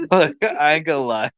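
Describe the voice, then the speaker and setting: Man, casual fan chat, laid-back, pokes fun at himself, lots of like and uh, slightly high voice, dry close sound. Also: fairly bright tone, dry recording. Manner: laid-back, self-deprecating, fillers like "like" and "uh".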